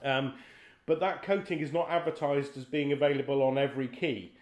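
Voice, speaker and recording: A man's voice: medium pitch, steady tone, fairly quick, a little mellow. A middle-aged man interviewing someone in a conversational style. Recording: echoey room sound.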